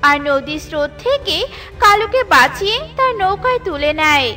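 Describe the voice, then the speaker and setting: A woman narrating a cartoon story in a lively storytelling way. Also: very high-pitched, normal speed. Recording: with light background music.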